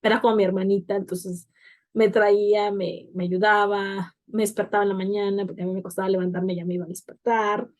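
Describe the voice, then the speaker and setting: A woman's voice: medium pitch, level tone, a little fast. A young woman, co-hosting a podcast and reflecting on her past in casual talk, often with "o sea". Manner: reflective, many fillers like "o sea". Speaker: podcast co-host.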